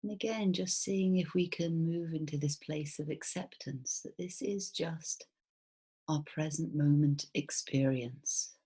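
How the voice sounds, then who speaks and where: Low, very slow, breathy woman guiding meditation. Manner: soft, soothing, unhurried with long pauses. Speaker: mindfulness teacher. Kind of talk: guided body scan meditation.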